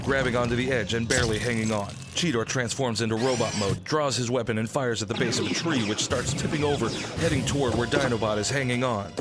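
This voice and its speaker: A man narrating a scripted radio drama, describing the action in the present tense. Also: mid-range voice. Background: music.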